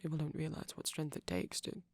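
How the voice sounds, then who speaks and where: A performer playing a shy, caring vampire boyfriend in an intimate ASMR roleplay, speaking softly and breathily with hesitant pauses. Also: mid-range voice, expressive, no room sound. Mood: sympathetic, earnest. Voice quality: breathy, often near whisper. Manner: soft-spoken, breathy, halting with gentle pauses.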